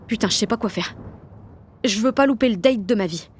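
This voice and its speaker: A woman's voice: mid-range voice, brisk, slightly rough, close to an everyday voice. An actress playing a slangy, self-conscious sixteen-year-old girl in a French audio drama, gushing and mocking herself as she narrates. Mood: anxious, self-doubting. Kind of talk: audio drama, slangy first-person inner narration and dialogue.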